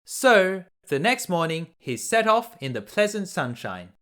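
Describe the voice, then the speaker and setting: A male storyteller reads a children's fairy tale aloud. His delivery is slow and deliberate, with very animated intonation and a bright, clear-cut edge. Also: sits high. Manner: expressive, deliberate.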